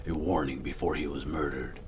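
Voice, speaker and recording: An actor playing a grim, brooding masked crime-fighter in an audio drama, speaking in terse, commanding phrases. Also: male voice, very deep voice, steady tone, fairly quick. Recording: music behind the voice.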